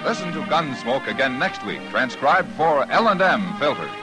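A man's voice: mid-range voice, sing-song, normal speed. An American man announcing scripted credits in 1950s radio style. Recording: vintage radio recording, music behind.

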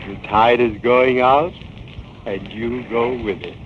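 An actor playing a scheming, murderous crook who whines and wheedles, in 1940s radio acting style. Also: male voice, mid-range voice, fairly even intonation, slow pace, natural voice. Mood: gloating. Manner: whining, wheedling, sinister laughing. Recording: dull old radio transcription with hiss.

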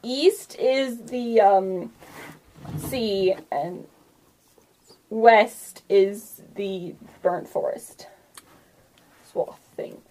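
A woman's voice: mid-range voice, very slow, long pauses, warm tone, poor audio. A woman acting as game master, narrating a tabletop game casually and explaining things in an informal, conversational way.

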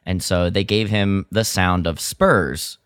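A man co-hosting a podcast, chatting casually as a fan in a halting, hesitant way. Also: low voice, fairly even intonation, a bit bright, clear, resonant tone, dry recording, clean studio sound. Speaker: podcast co-host.

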